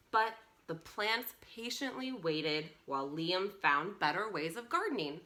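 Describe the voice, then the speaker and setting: Bright, animated woman reading to children. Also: mid-range voice. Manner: expressive, animated, pausing for encouraging asides to young listeners. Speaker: children's storytime presenter reading a picture book aloud. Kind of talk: read-along storytelling for young children.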